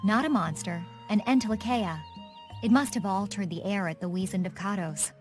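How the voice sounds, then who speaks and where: An actress playing a composed, resolute young woman warrior with a knowing, measured manner in a fantasy video game. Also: medium pitch, over music, close to an everyday voice. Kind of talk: English game dub acting.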